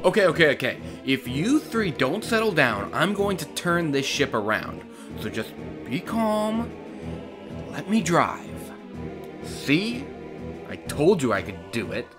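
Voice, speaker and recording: A performer plays a plucky boy hero in a game cartoon parody, sarcastic and exasperated. The pitch swings widely, and music plays clearly behind the voice. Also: sing-song, measured pace. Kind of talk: fan-dub game parody acting.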